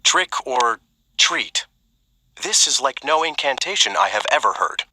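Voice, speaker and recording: A man's voice: medium pitch, lively intonation, a little slow, sharp tone, slight background noise. A man voicing a formal, puzzled, old-fashioned mage for a video game, speaking in a stately, archaic manner. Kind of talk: video game character voice lines.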